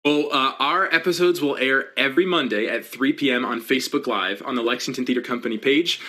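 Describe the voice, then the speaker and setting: A man's voice: medium pitch, a little fast, bright, forceful tone. A young man explaining things in a casual, upbeat way as an interview guest on a video call. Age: young adult. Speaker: interview guest, theater educator. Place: indoors at home, small room.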